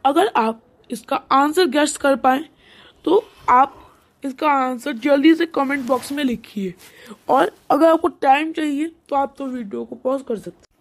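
High-pitched cartoon quiz interviewer, played by a woman. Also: measured pace. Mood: quizzing, composed. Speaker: quiz host.

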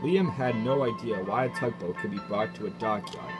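A man's voice: on the low side, moderate pace, loud music behind the voice, close to an everyday voice. A man playing the storybook narrator of a children's cartoon parody, telling the tale in a scripted style. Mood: measured.

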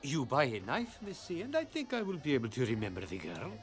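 A man's voice: mid-range voice, wildly swooping pitch, a little fast, faint music behind. A man voicing a sly, wheedling knife merchant in a desert bazaar for a cartoon. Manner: wheedling, salesman-like. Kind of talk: cartoon voice acting.

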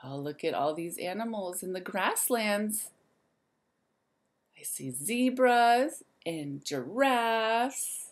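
A woman in her thirties reads a children's picture book aloud. Her mid-pitched voice moves very freely up and down, sing-song and expressive. She takes her time and talks directly to young listeners as a teacher would. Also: slow.

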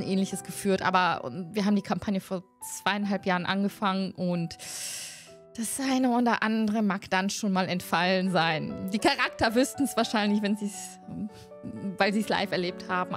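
A middle-aged woman hosting a tabletop role-playing channel, chatting casually to viewers into a close desk microphone. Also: medium pitch. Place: indoors at home, video call. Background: music.

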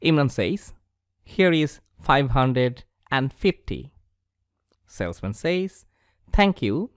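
Slow teacher. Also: male voice, mid-range voice, sing-song, clean tone. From Bangladesh. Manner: slow, deliberate teaching voice that reads dialogue aloud. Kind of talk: scripted English lesson for schoolchildren. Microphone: voice-over over slides.

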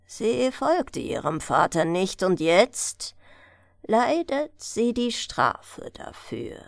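A woman reads as an old storybook fisherman of eighty, grave and resigned. He speaks very slowly and solemnly, in a close, dry recording. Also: mid-range voice, very animated, gravelly, no room sound, exaggerated voice. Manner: slow, solemn.

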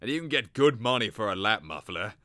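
A man's voice: fairly low pitch, big pitch swings, brisk, sharp tone, no echo, clean studio sound, pitched above the performer's own voice. A man voicing a wheezing, muttering shopkeeper in a storybook. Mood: agitated. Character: wheezing, grumbling male shopkeeper.

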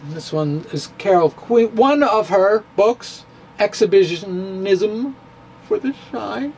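A middle-aged man giving a dramatic, comedic reading of a physics blog, halting and theatrical with emphatic pauses. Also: high voice, expressive, darkish tone, slight background noise, low-quality recording. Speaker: blogger, stand-up physicist. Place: indoor room with bookshelves. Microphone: handheld-style microphone on stand, close.

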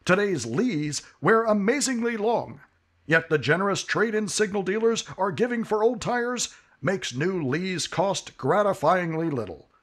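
A man announcing a 1950s-style radio commercial in a brisk, upbeat read. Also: sits high, animated, a bit bright.

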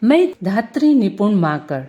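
A woman's voice: mid-range voice, big pitch swings, measured pace, mellow, dull audio. A woman giving a formal, prepared customer testimonial.

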